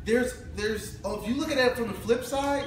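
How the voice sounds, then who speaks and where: A man, co-hosting a casual chat about home buying as a realtor, talking in an animated way with playful banter and repeated phrases. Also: extremely high pitch, normal speed. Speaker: realtor co-host. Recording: echoey room from far off, noisy.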